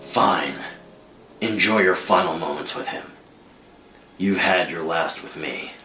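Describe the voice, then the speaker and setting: A man playing a stern, bitter masked vigilante, speaking with forceful indignation in a dramatic screenplay read. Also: deep voice, slow pace, slight background noise, exaggerated voice. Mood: bitter anger. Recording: roomy sound with music underneath.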